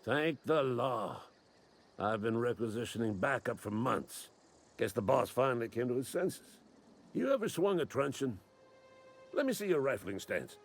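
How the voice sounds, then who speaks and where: An eager, folksy small-town lawman from a video game. His mid-pitched voice swings up and down with officious keenness, over faint music. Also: big pitch swings, normal speed. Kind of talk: video game character dialogue.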